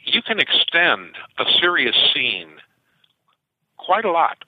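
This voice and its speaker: A man's voice: a little high, very animated, takes it slowly, a little hiss or hum. An older man, a science fiction author being interviewed, telling stories animatedly with frequent restarts. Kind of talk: interview over the phone. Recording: tinny phone line, dry.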